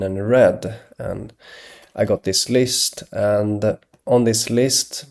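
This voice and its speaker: A man from Sweden, talking hesitantly in a rambling solo monologue, with many pauses and restarts. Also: lowish voice, darkish tone. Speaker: video blogger.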